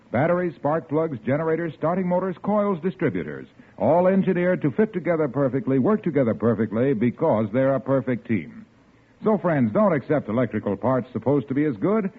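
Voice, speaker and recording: A man's voice: on the high side, very animated, a little fast, husky. A man announcing commercials and credits for an old-time radio program, in a persuasive, salesy way. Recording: tinny old radio broadcast.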